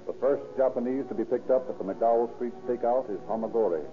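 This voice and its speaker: A man's voice: medium pitch, fast, over loud music. An American man narrating a scripted old radio crime drama. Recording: tinny old radio recording.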